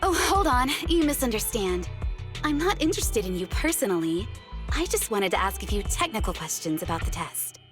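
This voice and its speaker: A woman's voice: medium pitch, very animated, normal speed, soft background music, close to an everyday voice. A woman playing a composed, strict female deputy chief in a video game, formal, precise and matter-of-fact.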